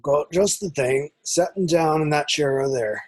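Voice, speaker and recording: Man as a husky, folksy gamekeeper. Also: on the high side, moderate pace, close to an everyday voice. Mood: brisk, kindly. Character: kindly, protective, plain-spoken male gamekeeper. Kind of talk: amateur script table read.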